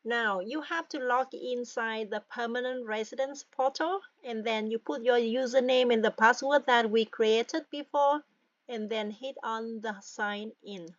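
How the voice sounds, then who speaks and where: A woman's voice: a little high, a little slow, dry recording, dull audio. Vietnamese-accented woman guiding a form walkthrough. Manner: frequent "all right?" and "guys", patient step-by-step. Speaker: tutorial presenter. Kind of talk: screen-recorded form walkthrough.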